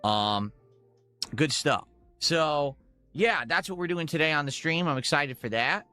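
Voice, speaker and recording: A man's voice: on the high side, expressive, measured pace, pushed and bright, clear, resonant tone, no room sound, faint music behind. A man chatting casually to his viewers on a gaming livestream in a rambling, audience-focused way.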